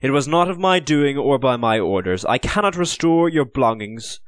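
A man doing a smooth, lying English captor. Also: mid-range voice, lively intonation, no room sound, clean studio sound, pitched above the performer's own voice, lightly acted. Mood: placating. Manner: measured, placating formal speech.

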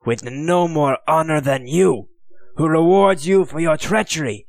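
A man's voice: medium pitch, lively intonation, moderate pace, no room sound, pitched above the performer's own voice. A man reading an audiobook, voicing a proud, desperate Spanish colonel held prisoner, with defiant, anguished pleading. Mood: jeering.

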